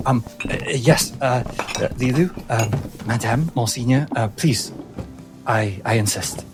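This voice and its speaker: A man's voice: mid-range voice, measured pace, music in the background, lightly acted. A man voicing a timid, deferential female servant mystic in a tabletop game, with a hesitant, stammering and very formal manner. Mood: nervous, deferential.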